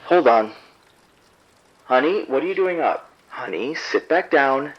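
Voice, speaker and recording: A man acting out a proud, irritable husband and father, curt and defensive on the phone. His voice is husky and swings widely in pitch. The line is dull and muffled, like an old phone call. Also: lively intonation, measured pace. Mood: exasperated. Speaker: caller. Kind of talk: audio drama acting.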